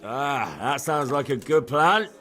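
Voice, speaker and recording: An actor playing an inquisitive, plain-spoken young commoner in a video game, with a dry questioning manner. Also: male voice, medium pitch, animated, slow, pushed and bright. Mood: wry. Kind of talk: video game cutscene acting.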